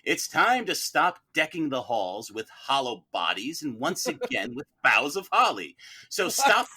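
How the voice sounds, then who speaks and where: A man's voice: high-pitched, pushed and bright. A man playing a trial lawyer, delivering a grandiose, dramatic closing speech from a script. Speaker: mock-trial lawyer on a podcast.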